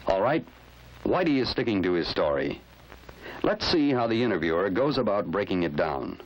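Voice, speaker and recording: A man's voice: lowish voice, big pitch swings, moderate pace, poor audio. A man with an American accent reading scripted instructional narration in a formal, didactic manner. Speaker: training film narrator.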